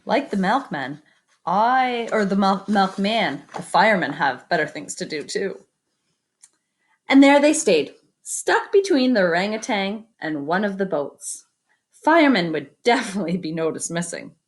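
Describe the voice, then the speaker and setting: Expressive, slow-paced woman reading a picture book. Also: mid-range voice. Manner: expressive, pauses to comment and invite predictions. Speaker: read-aloud storyteller. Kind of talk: children's picture book read-aloud with asides to listeners.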